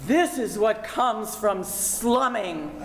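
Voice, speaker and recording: A woman's voice: mid-range voice, animated, takes it slowly. An older amateur actress playing a haughty, easily affronted mother in an old-time radio comedy. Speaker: amateur actor in a senior theater class.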